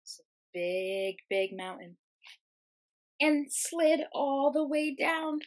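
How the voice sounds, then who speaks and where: A young woman, a teacher reading a children's storybook aloud, expressive and explaining things simply for young listeners. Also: high voice, slow pace. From United States. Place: small room at home, indoors.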